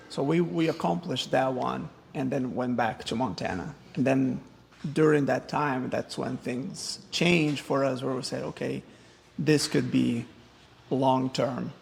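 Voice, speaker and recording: A man from Rio de Janeiro, relaxed as an interview guest, filling pauses with "um". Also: medium pitch, vocal fry, big-room sound, a little hiss or hum. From Brazil, Rio de Janeiro. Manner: relaxed, finishes his partner's sentences, many "um"s. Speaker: guest, father. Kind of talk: casual interview conversation.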